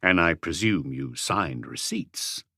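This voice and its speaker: A man voicing a calm, terse police inspector who questions in a measured, probing way for an audiobook. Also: sits low, vocal fry, no echo, clean studio sound, pitched below the performer's own voice, heavily acted.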